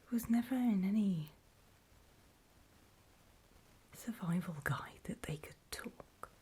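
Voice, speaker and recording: A woman playing a weary, wary zombie apocalypse survivor in a halting, breathy whispered ASMR horror roleplay. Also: medium pitch, expressive, warm tone. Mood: uneasy. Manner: halting, hesitant, slow and trailing off.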